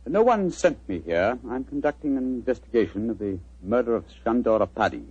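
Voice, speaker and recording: A brisk British detective, shrewd and urbane, with a very husky voice that swings in pitch. He speaks in commanding old radio-play style on a dull recording. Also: lively intonation, darkish tone. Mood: cool, polite. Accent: British English. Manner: incisive, clipped, urbane. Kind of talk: 1940s radio drama acting.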